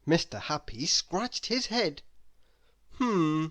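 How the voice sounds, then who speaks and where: A woman reading a children's story aloud, expressive and deliberate, with dramatic emphasis. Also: sits low. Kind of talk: children's read-aloud narration.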